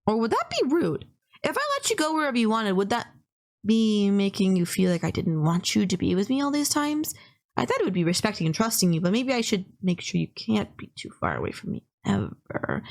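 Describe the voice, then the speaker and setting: A woman's voice: medium pitch, sing-song, fairly quick. A woman playing a polite, gushing young female kidnapper in an intimate anime-style roleplay, earnest, apologetic and rambling, obsessively in love. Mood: earnest, fussing.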